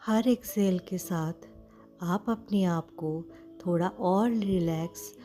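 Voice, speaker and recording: A woman with an Indian accent leads a guided meditation in a mellow, relaxed voice. She speaks very slowly and gently, pausing often between short phrases. Music plays behind her slightly low-pitched, soothing delivery. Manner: soothing, gentle, with frequent pauses.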